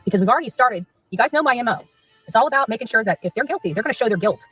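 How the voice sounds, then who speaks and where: A woman's voice: fairly high pitch, lively intonation, very fast, fairly bright tone, slightly rough, soft background music, low-quality recording. A woman on an informal livestream rant, taunting and repeating phrases for emphasis.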